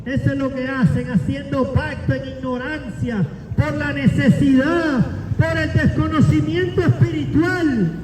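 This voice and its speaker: A man's voice: very high voice, steady tone, a little slow, far from the mic, background noise. A man, preaching a declamatory sermon and calling listeners to faith. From Cuba. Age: 40s. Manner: declamatory, builds up lists and rises to shouts. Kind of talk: sermon with scripture reading and altar call. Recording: echoey, long reverb, from afar.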